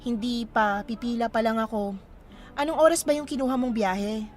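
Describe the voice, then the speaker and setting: High-voiced, coy young woman played by an actress. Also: moderate pace, lightly acted. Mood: playful, friendly. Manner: coy, teasing, expressive. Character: young single woman shyly smitten with a travel acquaintance. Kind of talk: radio romance drama dialogue.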